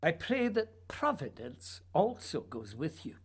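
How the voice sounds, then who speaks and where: Woman as male writer, radio drama, low-pitched, husky, swooping intonation, earnest and poetic. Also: big pitch swings, a little slow, heavily acted. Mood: probing. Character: dreamy, evasive male writer, earnest myth-maker. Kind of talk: radio play acting.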